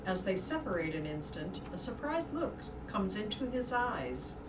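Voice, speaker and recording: A woman's voice: mid-range voice, big pitch swings, normal speed. A woman reading stage directions as a scripted narrator for reader's theater. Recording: roomy, distant mic, clearly noisy.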